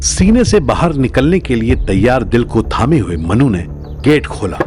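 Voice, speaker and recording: A man's voice: medium pitch, sing-song, measured pace, over music. A man narrating a scripted audio drama in a dramatic storytelling style.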